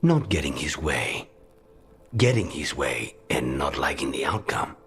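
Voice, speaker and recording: An actor voicing a grim male assassin with dry, weary wit in a video game, his voice gravelly and breathy and his delivery measured. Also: extremely low pitch, very animated, a bit bright, heavily acted. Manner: measured, deliberate. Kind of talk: video game cutscene acting.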